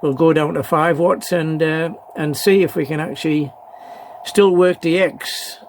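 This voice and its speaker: Mature male hobbyist vlogger, slightly high voice, hesitant unscripted delivery with repeated phrases, some background noise. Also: steady tone, a little slow. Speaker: amateur radio hobbyist presenting a video. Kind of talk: casual unscripted vlog talk. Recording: clearly noisy background.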